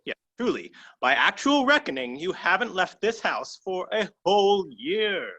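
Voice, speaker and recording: A man's voice: high-pitched, big pitch swings, unhurried, dull audio, heavily acted. A man reading the part of an old male servant, coaxing and reproachful, in a staged play reading over a video call. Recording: loud piano music under the voice.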